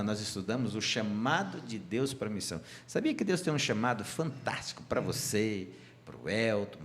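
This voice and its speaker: A man's voice: mid-range voice, a little hiss or hum. A man from Brazil leading a Sabbath school lesson on a church channel, chatty and joking with his co-hosts. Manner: chatty and warm, sending greetings and joking. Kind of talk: casual Bible study chat.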